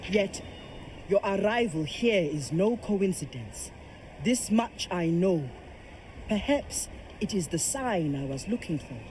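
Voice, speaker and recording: An actor voicing a solemn island champion in a video game, speaking formally and with measured dignity. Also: male voice, sits high, very animated, darkish tone, slight background noise, exaggerated voice, low-quality recording. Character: solemn champion and truth bearer of an island people. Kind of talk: video game character acting.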